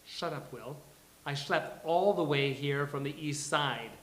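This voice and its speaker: A man's voice: on the high side, unhurried, fairly bright tone. A man reading a theatrical, bratty, easily indignant sitcom friend at a live table read in a roomy space. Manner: theatrical, huffy. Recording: roomy, echoey live venue.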